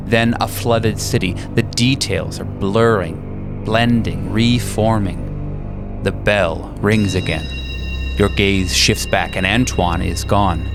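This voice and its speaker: Low-voiced man narrating horror over music. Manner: deliberate, ominous storytelling. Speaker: game master. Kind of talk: scripted horror narration in second person.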